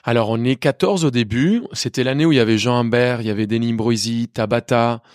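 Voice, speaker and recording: A man's voice: medium pitch, fairly quick, dry recording, clean studio sound. A man in his thirties from Colombia with a faint Spanish accent, telling his story in a candid interview, full of restarts and hesitations. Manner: lively, many restarts and hesitations. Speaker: chef guest.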